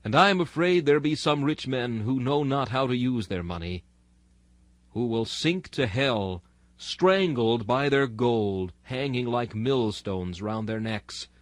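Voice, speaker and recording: A man with a mid-pitched voice delivers an archaic sermon as a dramatic reading. His manner is solemn and exhortatory, leaning on rhetorical delivery. The sound is dry, with almost no room around the voice. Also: animated, unhurried, dry recording. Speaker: sermon reader.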